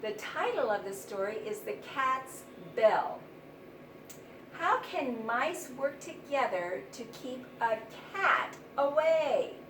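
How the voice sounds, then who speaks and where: A woman teaching young children, explaining things simply and deliberately with plenty of repetition. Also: high-pitched, sing-song, fairly bright tone. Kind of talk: read-aloud vocabulary lesson for young children. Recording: roomy, distant mic, noisy background.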